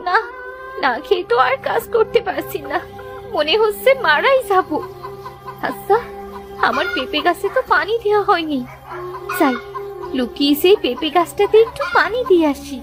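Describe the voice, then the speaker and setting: Very squeaky sad cartoon girl. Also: expressive, moderate pace, pauses often, music in the background. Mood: weary. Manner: plaintive, self-pitying. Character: sorrowful, hungry, lonely little orphan girl. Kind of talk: animated moral tale voice acting.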